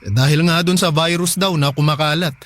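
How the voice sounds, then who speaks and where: A man's voice: on the high side, steady tone, fairly quick, fairly bright tone, close to an everyday voice. A Filipino man playing an anxious, protective husband, fretting and urging in conversational radio drama dialogue. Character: anxious, protective provincial husband on a phone call. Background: faint music.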